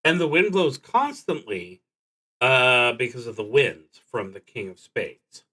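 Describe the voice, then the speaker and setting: A man's voice: medium pitch, sing-song, bright, forceful tone. A man streaming a solo tabletop roleplaying game, thinking aloud in a hesitant, musing, unscripted way. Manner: hesitant, musing, halting delivery.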